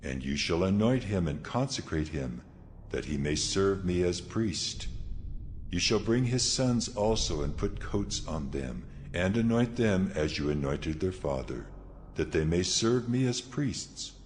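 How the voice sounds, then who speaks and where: Divine voice of God, very low, solemn, declamatory, commanding, audio scripture drama, noisy ambient backdrop. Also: male voice, animated, measured pace, darkish tone.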